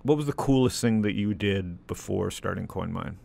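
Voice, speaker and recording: Gravelly-voiced man, candid and rambling. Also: deep voice, normal speed, a little mellow. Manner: candid, rambling, self-deprecating. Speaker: podcast host and investor. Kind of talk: casual interview chat.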